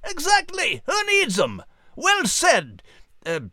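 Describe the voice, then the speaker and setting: A man voicing a feisty, good-hearted male dog with a Scottish accent and a gravelly, plain-spoken delivery. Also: very high-pitched, wildly swooping pitch, slow, pushed and bright, no room sound, clean studio sound, pitched above the performer's own voice, full character voice. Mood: brash, approving. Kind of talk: audiobook character acting and show hosting.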